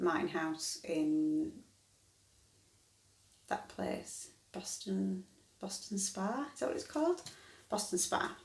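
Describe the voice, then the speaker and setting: A woman in her thirties chatting casually to camera, rambling and correcting herself as she goes. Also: mid-range voice. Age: 30s. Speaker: vlogger. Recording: echoey room.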